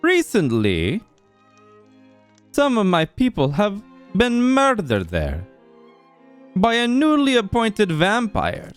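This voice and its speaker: A man playing a suave, formal vampire lord with courteous, theatrical, deliberate speech in a Minecraft roleplay. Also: sits high, huge pitch swings, clear, resonant tone, faint music behind, exaggerated voice. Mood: probing, controlled.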